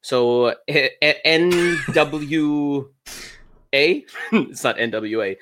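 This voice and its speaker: Halting man from Germany. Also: on the high side, a bit bright. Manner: halting, lots of restarts and fillers. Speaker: YouTuber and streamer guest. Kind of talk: casual interview chat.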